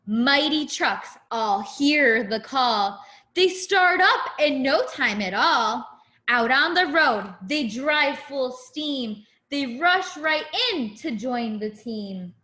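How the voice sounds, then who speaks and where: A woman's voice: high-pitched, expressive, takes it slowly, bright, forceful tone, roomy sound. A woman teacher reading a picture book aloud to young children, expressively, with simple asides to her listeners.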